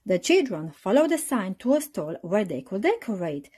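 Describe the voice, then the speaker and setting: A woman reading a children's storybook aloud in an expressive narrating style. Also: medium pitch, moderate pace, husky. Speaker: storybook reader.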